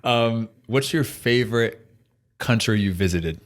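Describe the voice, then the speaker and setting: An American man hosting a casual podcast interview, joking around and laughing often as he asks questions. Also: medium pitch. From United States. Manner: jokey, laughs often, quick asides. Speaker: podcast host.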